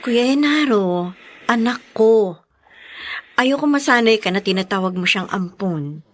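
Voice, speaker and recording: An actress playing a gentle, devoted young single mother in a radio drama. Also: medium pitch, animated, a little slow. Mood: earnest.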